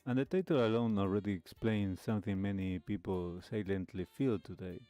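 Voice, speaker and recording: Man, talk show host, lowish pitch, soft mellow tone, measured explanatory commentary, faint music behind. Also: fairly even intonation, no room sound. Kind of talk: reaction commentary.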